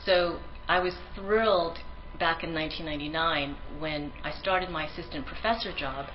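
A woman's voice: deep voice, normal speed, muffled recording, a little hiss or hum. A woman researcher giving a conference presentation in an earnest manner, with frequent ums and restarts; her first language is Tagalog.

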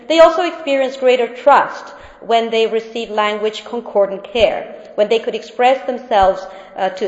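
A woman with an Argentine accent, a native Spanish speaker, presenting research findings in an academic talk, hesitant with many fillers and restarts. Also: a little high. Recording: echoey hall, dull sound.

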